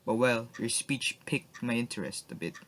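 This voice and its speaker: A man playing a calm, skeptical prison official in a radio drama, with a dry, measured manner. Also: mid-range voice, slight background noise, lightly acted. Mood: wry, intrigued. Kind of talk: hobby radio drama acting.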